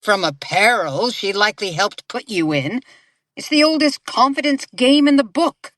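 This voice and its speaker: A woman's voice: on the high side, animated, normal speed, fairly bright tone, no echo, studio quality. A woman playing a cynical, condescending member of a secret society in an audio drama. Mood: scornful. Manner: condescending, mocking.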